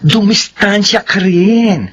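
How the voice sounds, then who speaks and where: A woman playing a sassy, frank and bossy best friend in a Filipino radio drama, with exaggerated, drawn-out delivery. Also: medium pitch, breathy edge, highs cut off. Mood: cautioning, gossipy.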